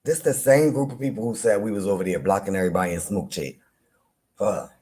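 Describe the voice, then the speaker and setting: A man with a deep voice, hosting a live chat room and teasing rivals in loose, playful banter with frequent laughter. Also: quick pace, mellow. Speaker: live audio-room host. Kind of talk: casual trash-talking chat to listeners.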